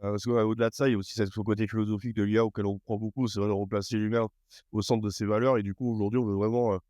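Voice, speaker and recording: A startup co-founder speaking casually about his team's values, quick and full of repeated phrases. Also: on the low side, flat delivery, darkish tone, no room sound, clean studio sound. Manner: quick, repeats "en tout cas". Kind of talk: casual pitch at a table.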